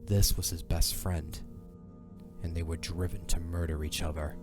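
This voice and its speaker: A man narrating a fantasy audio drama in a solemn, measured, dramatic storytelling style. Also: sits low, steady tone, over loud music.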